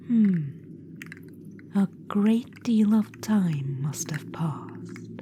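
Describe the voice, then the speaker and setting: A haughty undead witch queen , whispered by a woman, murmurs in a low, unhurried hush, condescending and fond of calling you darling. Her soft whisper sits over a steady background hiss. It is an ASMR roleplay. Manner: teasing, condescending, calls listener darling. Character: ancient undead witch queen, vain and imperious.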